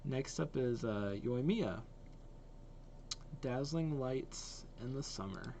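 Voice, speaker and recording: A man's voice: medium pitch, animated, slow, warm tone, a little hiss or hum. A young man giving casual running commentary as a reaction host, musing aloud in an informal, hesitant way. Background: game trailer music.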